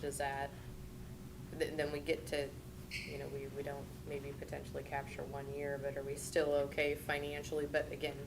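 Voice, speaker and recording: A woman's voice: sits low, monotone, moderate pace, background noise. A woman on a school board, earnestly putting forward a compromise. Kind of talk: public meeting discussion. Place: school board meeting room.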